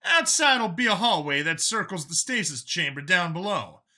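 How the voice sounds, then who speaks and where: Guy putting on an unsure sidekick guy for an audiobook, higher pitched, bright, hesitant, kinda apologetic. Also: very animated, normal speed, heavily acted. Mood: matter-of-fact. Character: apologetic, unsure male team guide giving directions. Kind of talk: audiobook character voice.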